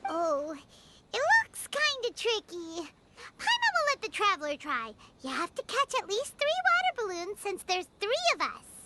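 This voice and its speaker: A woman's voice: very high voice, huge pitch swings, normal speed, heavy background noise, exaggerated voice. A woman voicing a small, bubbly, food-loving childlike fairy companion in an anime game, exclaiming as she talks. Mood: excited. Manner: speaks of self in third person, exclaiming.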